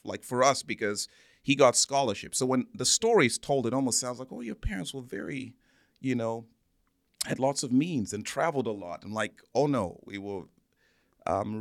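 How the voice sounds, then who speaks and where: A man's voice: lowish voice, vocal fry, no echo, clean studio sound. A man from Zambia telling personal stories as an interview guest, speaking earnestly and repeating words for emphasis. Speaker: interview guest, church minister. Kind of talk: conversational interview, personal storytelling.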